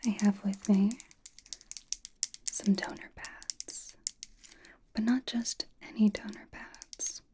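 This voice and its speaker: A woman's voice: mid-range voice, steady tone, slow pace, pauses often, lightly acted. A woman playing a playful, teasing young female K-pop idol in an ASMR roleplay, whispering casual, reassuring skincare pampering. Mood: soothing, instructive. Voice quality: breathy whisper. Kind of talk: ASMR roleplay, soft personal-attention whispering.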